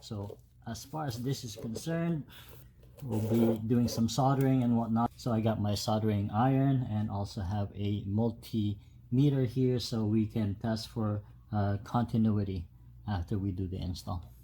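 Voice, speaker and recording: A man giving a casual, unscripted step-by-step tutorial as a DIY host, with halting delivery. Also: on the low side, steady tone. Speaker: host of a DIY truck modification video. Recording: clear background noise, ambient sound.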